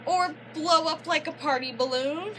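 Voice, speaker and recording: A young woman reading a children's picture book aloud like a teacher, pausing to explain and prompt with questions. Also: sits high, fairly bright tone, a little hiss or hum, rough recording. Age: young adult. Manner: instructive, prompting listeners with questions and affirmations.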